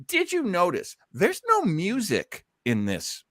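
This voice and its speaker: Male show host, bright and keen, chatting casually and enthusiastically, recorded dry. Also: high voice, huge pitch swings, takes it slowly, no room sound. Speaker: host of an old-time radio listening show. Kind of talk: casual hosting and discussion.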